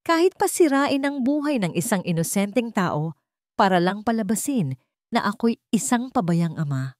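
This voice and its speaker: A woman voicing a cold, calculating businessman and father in a narrated drama, deliberate, commanding and accusing. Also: medium pitch, big pitch swings, a little mellow, dry recording, studio quality, voice pushed up, close to an everyday voice. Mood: cold accusation.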